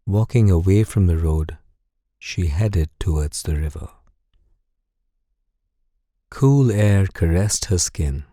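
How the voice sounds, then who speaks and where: A man gently and calmly narrating a scripted bedtime story for guided sleep meditation, soothing and unhurried. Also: low voice, no echo, clean studio sound. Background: music.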